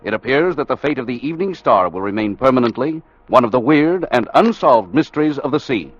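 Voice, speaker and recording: A man's voice: mid-range voice, animated, brisk, close to an everyday voice. An actor playing a sober American newsman reading a report in an old radio drama. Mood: sober, portentous. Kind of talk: 1940s radio newscast acting. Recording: muffled vintage radio recording, faint music.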